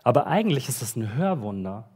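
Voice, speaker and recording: A man delivering a sermon at a church service, talking in an easygoing, conversational way and dropping casual slang between the Bible readings. His voice sits at an ordinary middle pitch. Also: very animated, a little fast, darkish tone, slightly rough. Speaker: pastor.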